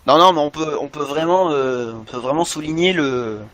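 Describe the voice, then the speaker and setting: Casual male podcaster, voice a little high, halting and repetitive delivery. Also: a bit bright. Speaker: podcast co-host.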